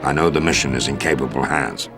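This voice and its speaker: An actor playing a commanding American military officer in a video game, giving a measured, formal, authoritative mission briefing. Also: male voice, extremely low pitch. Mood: businesslike. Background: music.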